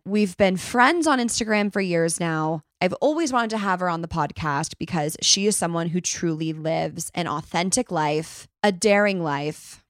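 A young woman hosts her podcast with a bubbly, upbeat energy and a slight rasp in her voice. She is recorded close on a very dry microphone, with no room sound at all. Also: lowish voice, no echo. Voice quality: slightly raspy today. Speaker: podcast host. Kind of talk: casual chat and scripted intro.

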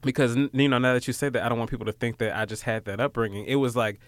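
A man's voice: medium pitch, steady tone, racing, dry recording. A man in his late twenties with an American accent, talking casually and reflectively in an informal conversation. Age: late 20s. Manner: informal, reflective, acts out quoted remarks.

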